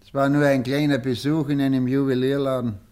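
A man's voice: medium pitch, little pitch movement, fairly quick, darkish tone, smooth, close to an everyday voice. Radio drama role, male actor: scheming Bavarian tramp and small-time robber, medium register, brash, sardonic. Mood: sardonic boasting. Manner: sly, sardonic. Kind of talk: German radio drama acting.

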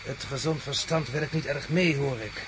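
A man's voice: medium pitch, moderate pace, fairly bright tone, a little hiss or hum, close to an everyday voice. A man playing a smug, mocking, commanding villain in a radio play, switching between mock politeness and orders. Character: smug, mocking, commanding villain guarding his captive men. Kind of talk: Dutch radio play acting.

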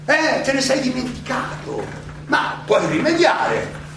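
A man acting an older, proud and ironic philosopher defending himself at trial in a halting, rhetorical stage monologue. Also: very high voice, huge pitch swings, gravelly, heavily acted. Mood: mocking, challenging. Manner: halting, rhetorical questioning with dramatic pauses and emphatic swells. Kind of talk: theatrical stage monologue, courtroom oration. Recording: very echoey distant stage recording, noisy.

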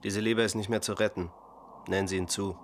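An actor playing a detached surgeon giving curt clinical orders in a radio drama. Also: male voice, on the low side, fairly even intonation, fast talker, slight background noise, lightly acted.

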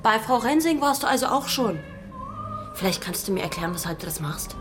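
A woman playing a sharp, exasperated police detective in a radio drama, with a dry, ironic, challenging manner. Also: mid-range voice, animated, a little fast, over music, natural voice. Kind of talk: naturalistic radio drama acting.

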